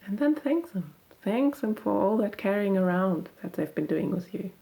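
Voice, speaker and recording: Woman, meditation guide, soft dark tone, creaky edges, gentle and unhurried. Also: medium pitch, animated, low-quality recording. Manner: gentle, unhurried, soothing instruction with long pauses.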